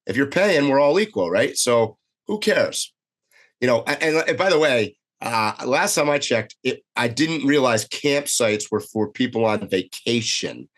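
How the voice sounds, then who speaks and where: A man's voice: medium pitch, a little slow. A man co-hosting a casual podcast while getting over a cold, opinionated and full of fillers. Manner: animated, opinionated, many fillers. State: has a cold. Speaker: podcast co-host. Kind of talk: casual podcast chat over video call.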